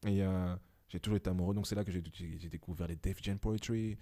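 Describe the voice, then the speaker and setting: Casting: young man in his twenties, low mellow voice, reflective podcast guest who hesitates often. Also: fairly even intonation, fast. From Belgium. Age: 20s. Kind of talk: casual podcast conversation. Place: home.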